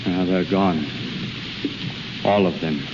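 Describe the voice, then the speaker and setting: A man's voice: sits low, steady tone, takes it slowly. Male, tormented and commanding healer, urgent repetitions, vintage radio melodrama, muffled sound with heavy hiss. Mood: bitter. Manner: urgent, commanding, repeats words. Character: intense, proud, tormented male self-taught healer. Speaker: actor. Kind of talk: 1930s radio drama acting. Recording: noisy, dull 1930s radio recording.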